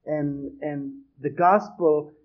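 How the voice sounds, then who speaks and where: A man's voice: on the high side, steady tone, slow. A man with a Greek accent, a missionary giving a hesitant church appeal with many fillers and restarts. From Greece. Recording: muffled lo-fi recording.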